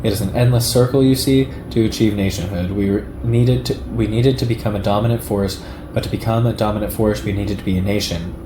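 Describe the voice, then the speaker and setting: Low male narrator, measured political lecture read from text, roomy sound with noise behind. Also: steady tone. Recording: echoey room with clear background noise.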